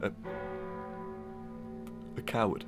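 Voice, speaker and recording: An actor playing a bitter condemned husband, menacing and declamatory, in a Victorian melodrama for audio. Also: male voice, very slow, fairly bright tone, soft background music. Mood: desperate. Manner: declamatory, archaic, dramatic speech.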